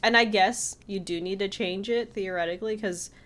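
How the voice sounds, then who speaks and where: A woman's voice: mid-range voice, steady tone, normal speed, fairly bright tone. A woman co-hosting a casual podcast, chatty and given to telling things as quoted back-and-forth. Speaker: podcast co-host.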